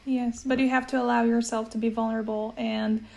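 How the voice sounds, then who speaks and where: A woman hosting a casual conversation about language learning, animated and laughing often. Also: fairly high pitch, brisk. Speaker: language teacher host.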